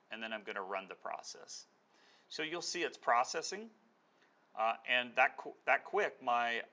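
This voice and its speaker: A man's voice: medium pitch, creaky, bright, forceful tone, dull audio. A man giving an informal screen-share product walkthrough as a demonstrator, explaining step by step in a conversational way. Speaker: software demonstrator.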